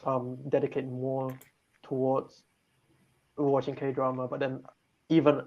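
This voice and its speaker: Casting: hesitant man guest, mid-pitched, muffled lo-fi mic. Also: monotone, takes it slowly. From Malaysia. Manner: hesitant, thinks aloud with many restarts. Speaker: guest on talk show. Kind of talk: casual chat. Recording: dull lo-fi mic.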